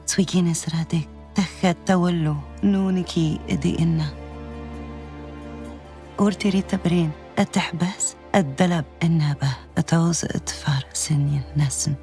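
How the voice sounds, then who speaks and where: A woman's voice: sits low, fairly even intonation, a little slow, warm tone, soft background music. An actress playing a solemn North African local woman, narrating a wartime story in a video game cutscene. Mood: solemn, hushed. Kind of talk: scripted cinematic narration.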